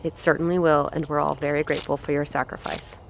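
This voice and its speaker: An actress playing a cold, detached female scientist who speaks calmly and formally in an audio drama. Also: deep voice, quick pace, creaky, slight background noise. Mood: cold, clinical. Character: cold, clinical female scientist, calm and unbending.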